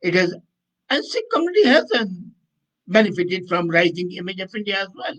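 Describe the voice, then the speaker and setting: A man's voice: high voice, very animated, brisk, fairly bright tone. A man hosting an unscripted political commentary, speaking in an emphatic, opinionated way and often correcting himself as he goes. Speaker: commentator and host answering viewer comments.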